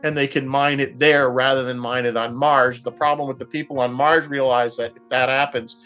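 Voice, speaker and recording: A man with a mid-pitched voice talks casually on a radio roundtable, with music underneath. He explains at length, haltingly, often doubling back on his words as he thinks. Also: fairly even intonation, a bit bright. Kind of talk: casual discussion, explaining a TV series.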